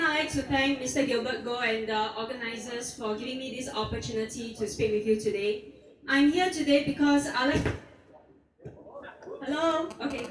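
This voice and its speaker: A woman in her thirties from Singapore giving a speech at an outdoor protest rally, earnest and measured, asking rhetorical questions. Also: high voice. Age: 30s. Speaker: protest speaker. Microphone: PA microphone recorded from the audience. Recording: distant echoey outdoor PA recording.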